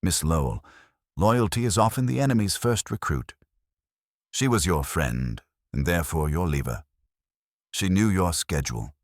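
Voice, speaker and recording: A male narrator plays an older master detective, ponderous and imperious. The voice is very deep and rough-edged, moving up and down with each stern pronouncement. The diction is formal and exact, as in a mystery audiobook. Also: expressive, moderate pace, dry recording, studio quality.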